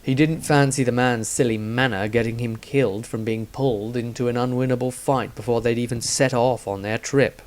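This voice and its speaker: A man's voice: mid-range voice, steady tone, normal speed, few pauses. A man reading scripted narration of a fantasy story as its narrator. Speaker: author narrator.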